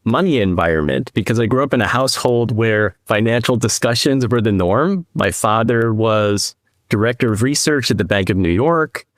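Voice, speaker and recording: Measured middle-aged man, dry close sound. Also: on the low side, lively intonation, dry recording. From New Jersey, US East Coast. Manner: measured, reflective, speaks in long explanatory runs. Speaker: podcast guest, financial advisor. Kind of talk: conversational interview storytelling.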